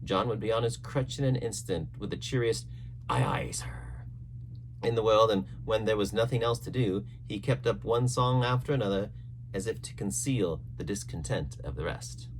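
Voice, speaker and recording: A man reading an adventure novel aloud as scripted, expressive storybook narration. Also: lowish voice, moderate pace, slight background noise, low-quality recording. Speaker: audiobook narrator.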